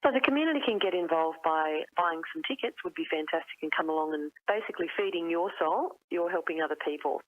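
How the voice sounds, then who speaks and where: A woman with an Australian accent giving a promotional interview soundbite over the telephone. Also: fairly low pitch. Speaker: charity event spokesperson. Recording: tinny narrow phone line.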